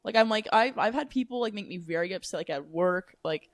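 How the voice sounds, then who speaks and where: A young woman with a voice that runs deep for a woman chats easily as a podcast guest. She strings her thoughts together with lots of "like" and the odd casual curse. The sound is close and dry, with no room around it. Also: lively intonation, brisk, no room sound. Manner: chatty, heavy use of "like", casual swearing. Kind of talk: casual chat. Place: apartment room.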